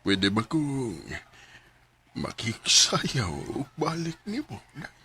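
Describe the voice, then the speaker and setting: A man's voice: medium pitch, big pitch swings, slow, pushed and bright, husky, exaggerated voice. An actor playing an aging widowed man tenderly courting a younger woman in a Cebuano radio romance drama, with a Cebuano accent. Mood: weak, tender.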